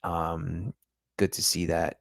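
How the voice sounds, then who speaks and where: Casting: male stream host, very low voice, dry close sound, chatty and analytical. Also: flat delivery, takes it slowly, vocal fry, no echo. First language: English. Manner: chatty, analytical, addresses viewers directly. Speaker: football podcast host. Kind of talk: live-stream match review.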